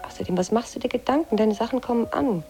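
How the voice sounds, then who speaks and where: An actress playing a practical, reassuring woman in intimate radio drama dialogue, with a mellow, creaky voice. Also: fairly high pitch, fast, faint music behind, lightly acted. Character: practical, reassuring woman, career-minded media worker.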